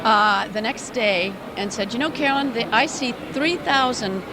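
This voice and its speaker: An older woman promoting regional tourism, speaking with upbeat enthusiasm in a loud voice during an interview at a business expo. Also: fairly high pitch, moderate pace, background noise. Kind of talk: casual interview. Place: busy indoor business expo.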